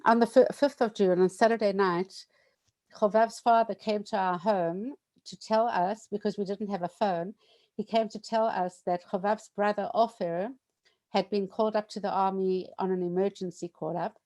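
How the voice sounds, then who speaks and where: A woman in her sixties telling a personal memorial story, hesitant and reflective, with frequent pauses and restarts. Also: medium pitch, steady tone, mellow. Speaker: bereaved widow sharing memorial story.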